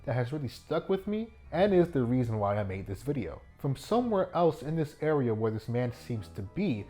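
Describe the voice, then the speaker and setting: A man narrating a video essay in an informal, jokey way, with animated asides and some swearing. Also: mid-range voice, moderate pace. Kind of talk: scripted video essay commentary with joking asides. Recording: music bed behind the voice. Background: background music.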